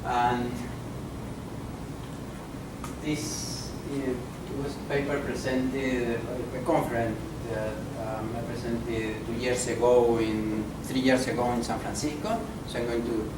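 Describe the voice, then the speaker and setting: A male professor lectures at a conference, his coloring every word. He speaks in a deliberate, halting way, often pausing on fillers. The mic is far off in a roomy, echoing space with a steady noisy hum underneath. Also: medium pitch. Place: conference room. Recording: echoey room from far off, noisy.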